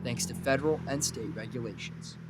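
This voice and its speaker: A man's voice: mid-range voice, brisk, noisy background. A young man delivering scripted broadcast news as a student TV reporter. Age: young adult.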